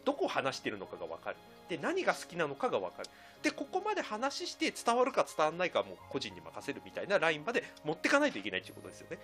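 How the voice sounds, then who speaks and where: Animated, fast, high-pitched man explaining emphatically. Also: soft background music. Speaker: podcast host. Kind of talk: casual discussion, explaining and advising.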